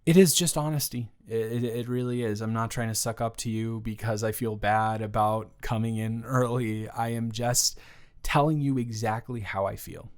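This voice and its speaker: A man's voice: mid-range voice, fairly even intonation, normal speed, lightly acted. A man playing an earnest, flustered ex-boyfriend in an intimate improvised audio roleplay, stammering and trailing off as he talks. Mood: tender, earnest.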